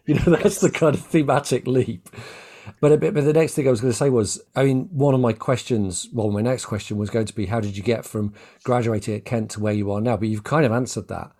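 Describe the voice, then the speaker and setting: A man's voice: mid-range voice, fairly even intonation, brisk, dark tone. A man interviewing a guest in a conversational, reflective and wordy way, circling around his point.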